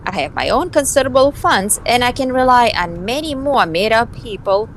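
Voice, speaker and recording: A woman's voice: a little high, big pitch swings, normal speed, slight background noise. A woman voicing a flippant, scheming young vampire socialite in an amateur visual novel dub, with a snarky, theatrical delivery. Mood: gossipy, admiring.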